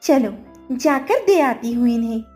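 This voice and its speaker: A woman plays a young mango seller in a cartoon horror tale. Her voice is high and swings widely in pitch, with emphatic, dramatic delivery. Music plays strongly behind her. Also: expressive, measured pace, darkish tone. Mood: cheerful. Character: young woman mango seller, pleading then defiant daughter-in-law. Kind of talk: Hindi cartoon horror story acting.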